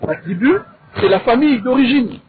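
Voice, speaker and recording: A man with a Congolese accent debating in a measured, didactic way, in short deliberate phrases and with rhetorical questions. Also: extremely high pitch, animated, a little hiss or hum. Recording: thin, phone-like narrow sound.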